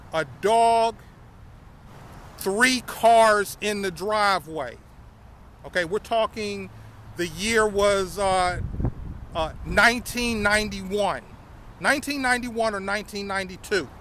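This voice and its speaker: A man's voice: high voice, takes it slowly, long pauses, bright, forceful tone, a little hiss or hum. A man in his fifties telling his life story casually to viewers, often repeating phrases and calling them y'all. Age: 50s. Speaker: vlogger.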